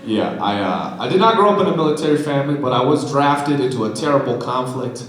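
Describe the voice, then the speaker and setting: Stand-up comic in an echoey theater. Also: male voice, medium pitch, quick pace, noisy background. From China. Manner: deadpan punchlines, teasing the crowd. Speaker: stand-up comedian. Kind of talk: stand-up comedy set. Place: comedy festival theater stage. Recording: echoey theater, loud audience laughter.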